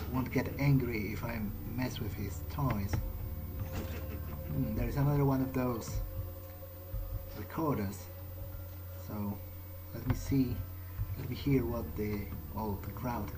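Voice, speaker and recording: A man's voice: mid-range voice, steady tone, measured pace, pauses often, noisy background. A man giving casual, improvised video game commentary in a hesitant, wry, thinking-aloud manner. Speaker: let's play streamer playing in character. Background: game music.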